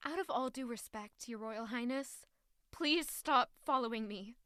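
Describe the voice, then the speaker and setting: A woman's voice: fairly high pitch, unhurried, pushed and bright, no echo, studio quality. A woman acting a hurt, stubborn young woman in an audio drama, with an intense, emotional delivery. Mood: angry, accusing.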